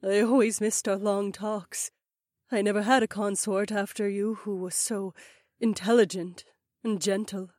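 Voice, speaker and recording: A man voicing a scheming, haughty noblewoman in a high falsetto. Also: steady tone, a little slow, a little mellow, no room sound, studio quality. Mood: cooing. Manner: cooing then screaming in high falsetto. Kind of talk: audiobook character voice.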